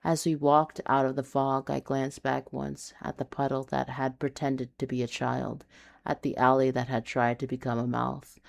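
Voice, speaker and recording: Low creaky woman reading noir urban fantasy, intense and confessional, dramatic storyteller. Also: level tone, normal speed, a little mellow. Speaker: narrator.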